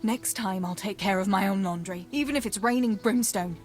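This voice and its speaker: A woman's voice: medium pitch, fairly quick, soft background music, close to an everyday voice. An actress playing a spirited young medieval village woman who teases and banters affectionately in a video game. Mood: hurt, angry. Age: young adult. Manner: teasing, bantering. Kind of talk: naturalistic video game dialogue acting.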